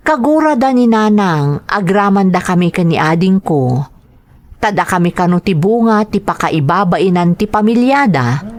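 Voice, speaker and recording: A woman playing a dutiful, long-suffering young elder sister in an audio drama, earnest and gentle, with a Filipino accent. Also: fairly low pitch. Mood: sorrowful. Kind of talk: Ilocano audio drama acting.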